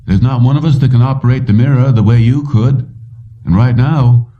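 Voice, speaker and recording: A man's voice: mid-range voice, normal speed, voice pushed up, natural voice. A man voicing an older male company boss in a story, strained and wary, on a dull recording. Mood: grudging. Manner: tense, pressed delivery. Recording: muffled, distant-sounding dull recording.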